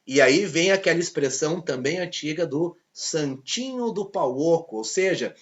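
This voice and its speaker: A man with a Brazilian accent teaching a history lesson, telling it as a lively story and acting out the voices of people in it. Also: on the high side, moderate pace, dull audio. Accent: Brazilian Portuguese. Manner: lively storytelling, acts out quoted characters. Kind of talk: video lesson.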